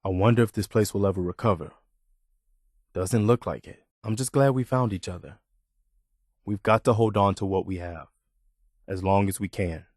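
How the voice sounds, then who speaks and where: An actor playing an earnest, loyal militia lieutenant in a video game, sincere, respectful and soldierly. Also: male voice, on the low side, normal speed, long pauses, a little mellow, dry recording, studio quality, close to an everyday voice. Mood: somber, reflective. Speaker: companion character in a video game.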